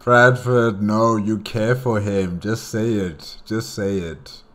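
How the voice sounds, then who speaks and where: A man hosting a reaction video, talking through a casual recap and thinking aloud in a halting way. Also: fairly low pitch, fairly even intonation, fairly bright tone.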